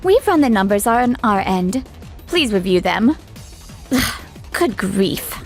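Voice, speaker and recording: A woman's voice: medium pitch, big pitch swings, slow pace, darkish tone, soft background music. Woman playing blunt, irritated warehouse manager. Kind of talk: manga dub acting.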